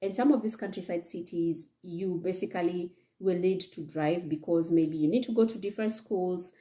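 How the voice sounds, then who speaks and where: A woman's voice: on the low side, normal speed, dark tone. A young Kenyan woman with a Kenyan accent talking to camera indoors, giving chatty advice and often checking in with "yeah?" and "okay?". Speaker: vlogger. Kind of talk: casual advice talk to camera. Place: indoor room, seated in front of leather chair.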